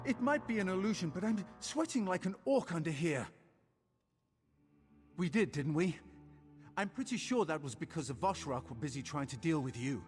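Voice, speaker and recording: An actor playing an earnest, nervy young adventuring companion in a fantasy game, talking conversationally. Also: male voice, fairly high pitch, close to an everyday voice. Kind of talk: scripted fantasy game acting. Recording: long reverberant hall echo.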